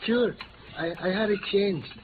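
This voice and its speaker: High-voiced, jovial Mexican taco-stand owner. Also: male voice, unhurried. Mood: jovial, sly. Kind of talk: 1950s radio character acting. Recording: noisy, dull old radio recording.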